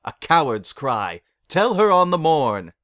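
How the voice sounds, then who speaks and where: A man's voice: a little high, big pitch swings, a little slow, fairly bright tone, no room sound, voice pushed up. Guy doing a bossy royal night pony princess, formal old-timey talk, storybook style, very dry recording. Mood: stern, insistent. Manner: formal archaic phrasing, commanding. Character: stern, imperious pony princess of the night, female.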